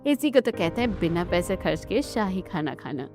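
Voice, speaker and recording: A woman's voice: lowish voice, sing-song, normal speed, a little mellow, faint music behind. A woman playing a bossy, miserly Indian mother-in-law in a family cartoon, with a scolding, instructing manner. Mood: bossy, scheming. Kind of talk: Hindi family cartoon dialogue.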